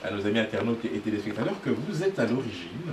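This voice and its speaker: A man's voice: lowish voice, very animated, fast talker. A man hosting a studio talk show, courteously interviewing guests with frequent short acknowledgements and some hesitant fillers. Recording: roomy sound from a distant mic with background noise.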